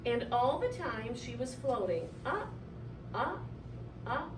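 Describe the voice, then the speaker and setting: A woman reading a children's story aloud in an expressive, animated way, drawing out key words for effect. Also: a little high, slow. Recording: roomy, distant mic, clearly noisy.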